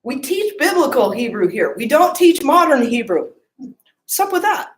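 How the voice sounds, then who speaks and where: A woman's voice: sits high, very animated, normal speed, roomy sound. A woman giving a classroom lecture, often asking the class questions and checking in with "okay?" and "right?".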